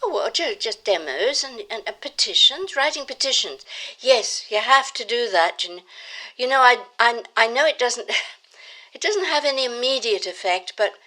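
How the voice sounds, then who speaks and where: A woman's voice: fairly high pitch, lively intonation, moderate pace, pushed and bright, poor audio. A woman playing an earnest, naive young activist granddaughter in an audio drama, stammering and hesitating in her eagerness. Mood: eager, flustered.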